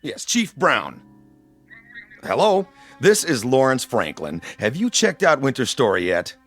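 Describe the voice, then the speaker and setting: An actor playing a blustering, threatening rival newspaper boss in a radio drama, blunt and pompous. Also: male voice, mid-range voice, big pitch swings, a little slow, a bit bright, faint music behind, heavily acted. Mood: angry accusation.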